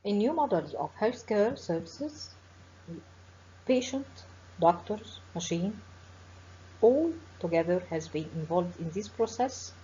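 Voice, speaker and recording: A middle-aged woman with an Egyptian accent gives a formal webinar lecture as a professor, speaking in measured phrases with frequent pauses and fillers. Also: medium pitch, animated, dark tone. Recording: dull lo-fi webinar audio with faint hiss.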